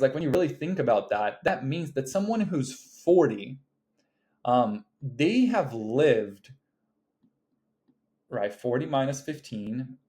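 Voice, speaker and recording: A man's voice: medium pitch, a little mellow. A man in his late twenties chatting casually in a vlog, rambling with lots of "like" and "um" and casual swearing. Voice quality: rambling, heavy use of fillers like "like" and "um", swears casually. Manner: laughs between thoughts. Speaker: video creator. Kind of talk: casual talking-to-camera vlog.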